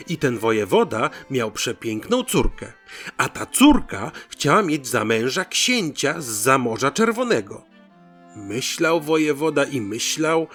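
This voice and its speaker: A man narrating a folk tale as host, in an expressive, theatrical storytelling style. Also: mid-range voice.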